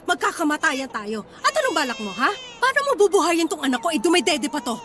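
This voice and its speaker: High-voiced, fiery wronged wife. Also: female voice, very animated, quick pace, background noise. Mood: furious, anguished. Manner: taunting, accusatory. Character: wronged young wife and mother, fiery, sarcastic, confrontational. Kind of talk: radio drama acting.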